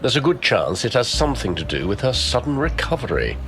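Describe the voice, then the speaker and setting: An actor playing a blunt but concerned military-style handler in an audio drama, frank and authoritative. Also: male voice, medium pitch, big pitch swings, brisk. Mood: businesslike, grave. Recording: music bed behind the voice.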